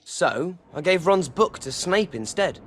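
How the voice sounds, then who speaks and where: A man's voice: sits high, lively intonation, unhurried, faint music behind. A man playing an earnest, urgent teenage schoolboy wizard with an English accent in a video game cutscene. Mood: defensive.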